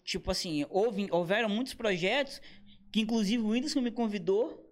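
A man in his thirties, a comedian and motion designer, chatting casually in a slangy, animated way with quoted asides. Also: sits high, normal speed. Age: 30s. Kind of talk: casual podcast conversation.

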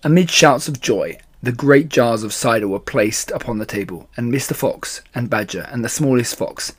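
A man reading a children's story aloud as an expressive storyteller. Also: medium pitch, normal speed, breathy edge.

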